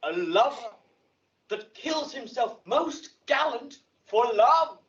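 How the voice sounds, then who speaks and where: An actor playing an officious organizer of amateur players, deliberate and emphatic, in a Shakespeare audio drama. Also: male voice, very high-pitched, animated, a bit bright, full character voice. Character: male carpenter directing an amateur play, officious organizer. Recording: dull lo-fi audio drama playback, distant.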